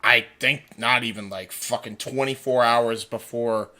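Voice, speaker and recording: A man hosting a casual livestream chat, talking in an excitable way and swearing freely. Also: mid-range voice, unhurried. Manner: profane, excitable. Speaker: livestream host.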